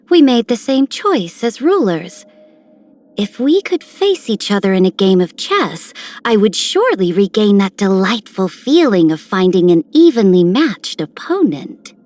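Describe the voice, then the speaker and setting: A woman's voice: fairly high pitch, darkish tone. A woman playing a regal, proud female ruler in a video game, with a formal, stately manner.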